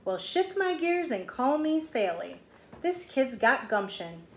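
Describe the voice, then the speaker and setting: A woman's voice: high voice, sing-song, slow, voice pushed up, heavily acted. Folksy, big-hearted champion race truck for a storybook, voiced by a woman, roomy echo, faint noise. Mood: impressed.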